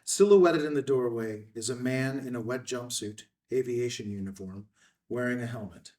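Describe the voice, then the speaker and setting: A man's voice: mid-range voice, moderate pace, darkish tone, distant mic. A man reading scene headings and stage directions in a clipped, scripted narration at a table read.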